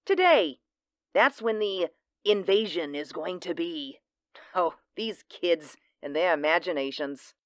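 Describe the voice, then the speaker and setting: A woman's voice: on the low side, expressive, a little slow, no echo. A woman playing a chatty, easygoing housewife catching up with a friend in an audio drama. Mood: gossipy. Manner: chatty, casual.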